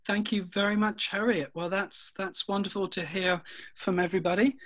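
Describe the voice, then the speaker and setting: Male festival host, polite, gently halting, chairing a poetry panel on a video call. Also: high-pitched, steady tone.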